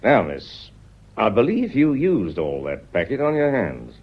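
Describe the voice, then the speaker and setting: An actor playing a courteous but relentless police inspector in a radio play, questioning in a deliberate, insistent way. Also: male voice, fairly low pitch, huge pitch swings, slightly rough, muffled recording, exaggerated voice. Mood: shrewd, insistent.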